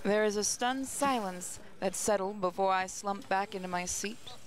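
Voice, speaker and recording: A woman's voice: mid-range voice, normal speed, slight background noise. A woman hosting a gaming channel, reading story narration aloud with her own asides.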